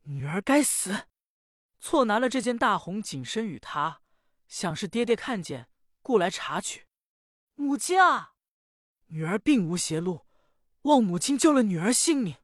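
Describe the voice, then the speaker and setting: A woman's voice: medium pitch, big pitch swings, measured pace, dry recording, studio quality. A woman voicing a frightened, tearful young noble lady who pleads with wailing cries in a traditional storytelling performance. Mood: pleading.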